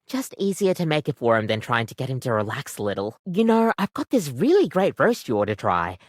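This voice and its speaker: A woman's voice: extremely low pitch, big pitch swings, brisk, a little mellow, no echo, studio quality, full character voice. A woman voicing a friendly, chatty coffee maker come to life as a barista in a video game, with bubbly, slightly awkward customer patter. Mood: chatty, wry. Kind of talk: animated video game voice acting.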